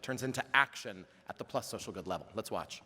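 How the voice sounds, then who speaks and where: A man's voice: on the low side, animated, fairly quick, sharp tone, slightly rough. A man hosting a summit, speaking on stage with emphatic, rhythmic lists. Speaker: summit host. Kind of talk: keynote speech. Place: conference hall. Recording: hall reverb.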